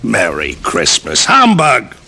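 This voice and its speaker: Gravelly grumpy old-radio miser. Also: male voice, lowish voice, huge pitch swings, takes it slowly, heavily acted. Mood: grumpy, sarcastic. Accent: American. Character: grumpy miserly businessman, easily rattled, wisecracking tough talk. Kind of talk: old-time American radio comedy-drama acting. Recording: old radio broadcast with loud music.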